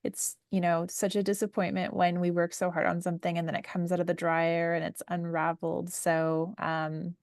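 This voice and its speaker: A woman co-hosting an online craft class who chimes in with relaxed, encouraging comments. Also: on the low side, normal speed, few pauses, dry recording, studio quality. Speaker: class co-host. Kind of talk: casual chat during an online class.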